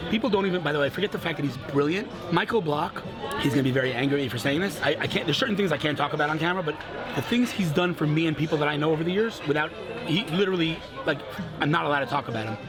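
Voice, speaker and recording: A man hosting a casual interview in a restaurant, excitable and gushing, often talking over his guest. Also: a little high, rapid-fire. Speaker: vlog host interviewing guests. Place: busy restaurant. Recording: noisy restaurant with loud music. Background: loud music and crowd chatter.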